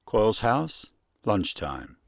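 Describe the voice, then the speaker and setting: A man's voice: lowish voice, big pitch swings, drawn-out words, vocal fry, over loud music. A man reading scripted narration for a radio play, setting each scene.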